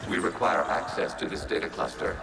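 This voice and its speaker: A deep, calm synthetic being from a sci-fi game. He speaks in measured, clinical phrases with formal precision, over loud music. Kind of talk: video game dialogue.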